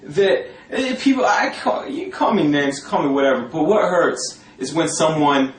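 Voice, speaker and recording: A man telling a personal story informally in a sardonic, gossipy, coarse-mouthed and animated way. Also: a little high, moderate pace. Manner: animated, breaking often into laughter. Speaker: storyteller talking about a past religious community. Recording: roomy echo, distant mic, faint noise.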